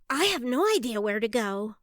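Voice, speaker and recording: High-pitched, plucky young princess. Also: female voice, animated, a little slow, dry recording, clean studio sound. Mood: flustered, dismayed. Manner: puckish, theatrical, proper. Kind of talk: audiobook character acting.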